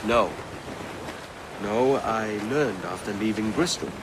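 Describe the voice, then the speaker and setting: A man playing a gentle, regretful sea captain and father with a measured, hesitant manner in a video game cutscene. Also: on the low side. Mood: gently indulgent. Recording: noisy wind and waves on ship.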